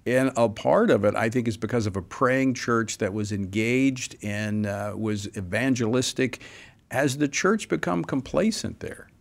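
A man hosting a broadcast interview, asking earnest, measured questions with small restarts. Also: medium pitch, gravelly. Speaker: radio talk show host.

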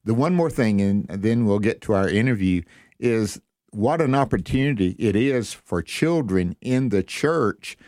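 A man's voice: lowish voice, expressive, a little slow, darkish tone, no echo, studio quality. A man hosting an interview show, speaking as a radio presenter to listeners and guests. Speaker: host.

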